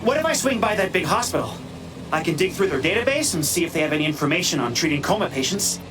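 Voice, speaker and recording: A man's voice: on the high side, sing-song, fast talker, poor audio. A man playing a cocky, crude, wisecracking vigilante hacker in a video game, theatrical and teasing, with mock voices. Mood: playful. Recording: loud music behind the voice.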